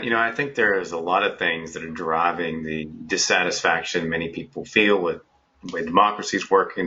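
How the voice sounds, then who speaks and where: A man on a panel explaining poll findings in a measured way, leaning on filler phrases, with a husky voice. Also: deep voice, sharp tone, dull audio. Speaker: pollster panelist. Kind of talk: virtual panel discussion.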